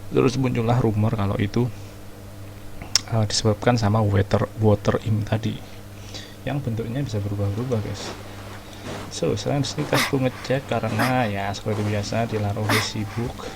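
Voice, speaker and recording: A man's voice: lowish voice, steady tone, a little fast, creaky, noisy background. A man with an Indonesian accent giving casual commentary as he plays through a game. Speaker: gaming video host.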